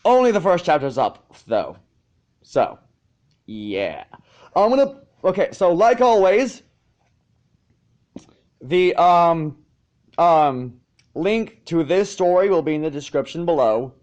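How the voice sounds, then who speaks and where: A man's voice: fairly high pitch, sing-song, slow, pauses often, darkish tone, dull audio. A man narrating fan fiction as an amateur reader and channel host, now and then tripping over words and correcting himself. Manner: stumbles over lines and self-corrects. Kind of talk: amateur fan fiction reading with casual asides.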